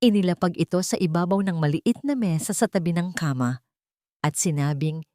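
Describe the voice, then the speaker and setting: A woman's voice: on the low side, moderate pace, mellow, no echo, studio quality. A woman reading scripted audio drama narration as a storyteller, in an earnest, emotive manner.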